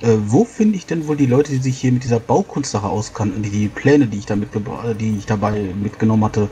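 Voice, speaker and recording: A man chatting in character as a tabletop player, speaking haltingly and stumbling over his phrases. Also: fairly low pitch, warm tone, slight background noise. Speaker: tabletop role-playing player. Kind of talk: in-character casual chat.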